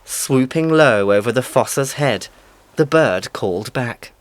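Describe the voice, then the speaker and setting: A man's voice: mid-range voice, animated, unhurried, no echo. A man reading scripted storybook narration as the narrator.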